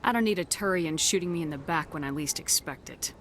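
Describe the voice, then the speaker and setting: An actress playing a tough, wary military commander in a video game, terse and commanding. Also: female voice, lowish voice, fairly quick, fairly bright tone, lightly acted. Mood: wary, firm. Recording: clear ambient noise behind.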